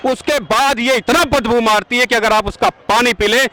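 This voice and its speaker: Forceful high-voiced campaigner man. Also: steady tone, normal speed, no echo. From Azamgarh, India. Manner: forceful, rhetorical, indignant questioning. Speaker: yajna organizer, campaigner. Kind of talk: street interview. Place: outdoors at a busy road junction.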